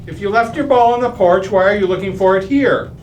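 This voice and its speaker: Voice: mid-range voice, brisk, a little mellow, a little hiss or hum, natural voice, rough recording. A performer playing an exasperated, blustering father who sputters indignantly in an old-time radio comedy.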